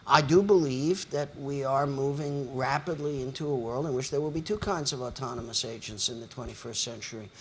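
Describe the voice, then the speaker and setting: A man's voice: medium pitch, brisk, hardly any pauses, a little hiss or hum. An older man moderating a panel discussion in a lecture hall, speaking in long, articulate, lecturing sentences.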